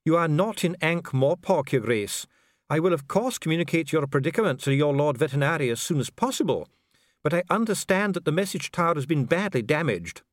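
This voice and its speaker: A man voicing a calm dwarf official with a measured, bureaucratic, smoothly courteous manner in an audiobook. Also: fairly high pitch, no echo, studio quality, pitched above the performer's own voice, close to an everyday voice. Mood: coolly polite. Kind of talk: audiobook character voice.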